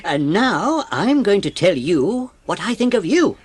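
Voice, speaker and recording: A woman voicing a kindly old English gentleman, avuncular and given to musing aloud, in a dramatised children's audiobook. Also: medium pitch, sing-song, measured pace, slightly rough. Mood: kindly, amused.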